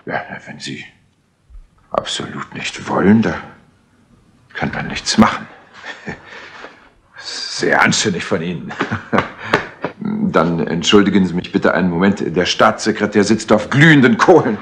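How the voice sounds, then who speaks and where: Colonel in a vintage crime radio play: grateful, hesitant, wordy, low-pitched, noisy tape. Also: male voice, animated, a little slow, creaky, long pauses, fairly bright tone, full character voice. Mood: hesitant, polite. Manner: hesitant, wordy, with stammered fillers. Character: male British colonel, grateful, flustered senior intelligence official. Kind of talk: 1960s German crime radio play acting. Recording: noisy old 1960s tape recording.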